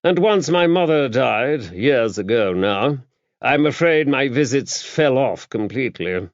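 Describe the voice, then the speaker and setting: A man's voice: medium pitch, lively intonation, a little slow, no room sound, highs cut off, voice pushed up. Pompous upper-class English lord, acted by a man. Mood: breezy, rueful. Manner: pompous, drawling old-fashioned turns of phrase. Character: pompous, self-important older English lord, clipped and aggrieved.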